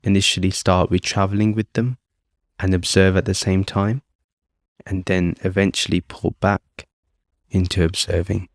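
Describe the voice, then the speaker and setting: A man calmly leading a guided meditation as host, speaking off the cuff in short phrases with long pauses. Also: low-pitched, dry recording, studio quality. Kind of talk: guided meditation, unscripted instruction.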